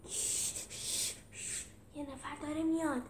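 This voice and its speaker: A woman's voice: mid-range voice, lightly acted. A woman voicing a bold, passionate female animal leader with an urgent, dramatic manner in a storybook reading. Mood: hushed, urgent. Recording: roomy echo with some background noise.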